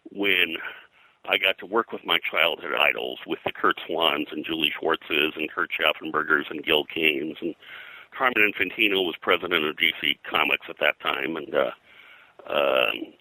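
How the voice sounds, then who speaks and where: Older male interviewee, deep and strikingly crisp-bright, dry close sound, rambling detailed reminiscence. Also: no room sound, rough recording. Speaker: interview guest, veteran comics colorist.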